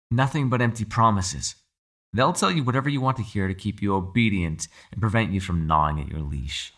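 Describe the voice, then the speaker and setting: A man playing a captive mind-controlling being in an ASMR roleplay, calm, shrewd and persuasive, speaking in a measured, formal, quietly condescending way. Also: low-pitched, full character voice. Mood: coolly probing. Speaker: voice actor. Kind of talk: ASMR roleplay, dramatic monologue.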